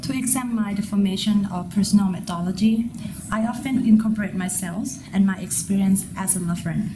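A woman's voice: medium pitch, a little fast. A young woman from Thailand with a Thai accent, a graduate art student, formally introducing her work with careful phrasing and small slips. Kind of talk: self-introduction as an artist. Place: indoor art gallery. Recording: steady background noise.

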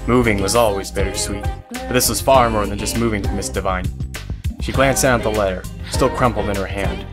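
Male narrator for audio fiction: lowish voice, measured dramatic storytelling, light music bed. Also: fairly even intonation.

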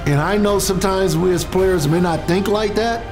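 A man's voice: high-pitched, normal speed, music in the background. A man, a former football player, speaking reflectively in a documentary interview.

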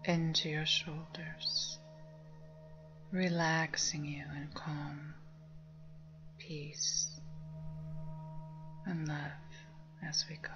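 Slow, low-voiced woman guiding a meditation. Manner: soft, breathy, near-whispered, calming. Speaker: meditation guide. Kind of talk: guided meditation, slow spoken instructions. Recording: dull lo-fi sound under music. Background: meditation music with singing bowls.

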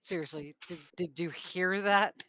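A woman's voice: lowish voice, unhurried, noisy background. A woman with a Canadian accent playing in a tabletop game, wry and joking. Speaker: player.

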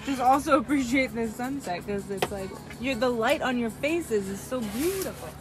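A woman chatting excitedly and playfully with friends on a sailboat. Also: a little high, moderate pace. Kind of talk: casual chat. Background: background music.

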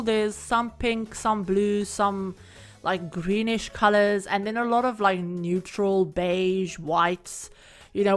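A woman recording a casual, rambling voiceover for a home-building game video, her voice a little croaky from being sick. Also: mid-range voice. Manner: rambling, chatty, many fillers. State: croaky from illness. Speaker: Sims build creator. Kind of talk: casual voiceover over gameplay.

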